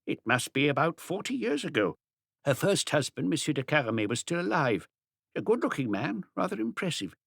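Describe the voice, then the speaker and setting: A man's voice: fairly high pitch, big pitch swings, a little fast, creaky, fairly bright tone, dry recording, clean studio sound, voice pushed up, full character voice. A man voicing a chatty elderly bird seller in an audiobook, folksy, gossipy and wistful as he reminisces. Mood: proud reminiscing. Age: older. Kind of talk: audiobook character dialogue.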